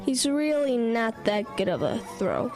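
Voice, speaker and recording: A woman's voice: a little high, very animated, unhurried. A hesitant bookish teenage boy. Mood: wary. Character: wary bookish younger teenage brother, hesitant. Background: music score.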